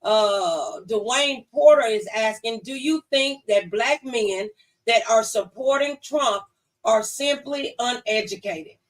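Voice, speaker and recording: A woman's voice: fairly high pitch, slow. Woman hosting, a bit far from mic. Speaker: talk show host. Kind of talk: conversational live call-in show hosting. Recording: distant from the microphone.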